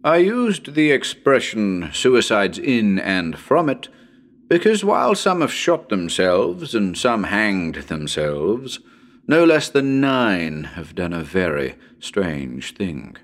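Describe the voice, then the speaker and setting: A man reading as a genial, well-spoken radio presenter of a ghost hunt, with a measured, wry and formal manner. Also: lowish voice, sing-song, exaggerated voice. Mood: measured, grave. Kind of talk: dramatic reading as live radio broadcast.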